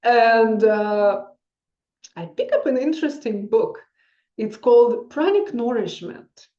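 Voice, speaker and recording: A woman in her forties speaks in English, telling a long personal story in a loose, rambling way and stopping now and then to hunt for a word. Her voice is soft and mellow. The sound is roomy and a little dull. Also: fairly high pitch, expressive, takes it slowly. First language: Russian. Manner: rambling, searching for words, non-native grammar. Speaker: vlogger.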